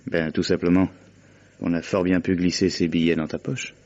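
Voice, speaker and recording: A man's voice: low-pitched, flat delivery, moderate pace, a little mellow, natural voice. An actor playing an impatient, domineering young man in a French radio mystery play, exasperated and insistent with his friend. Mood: low, calculating. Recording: dull, muffled vintage radio recording.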